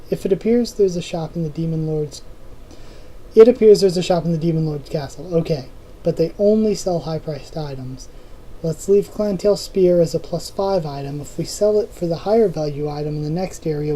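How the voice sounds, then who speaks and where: A hoarse host reading a novel aloud, breaking off for quick asides and going back over fumbled lines. The tone is deep and mellow, at a middling pitch. The delivery stays relaxed and unpolished. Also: a little hiss or hum, rough recording. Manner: informal reading with restarts and self-corrections. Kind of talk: casual novel readthrough with asides.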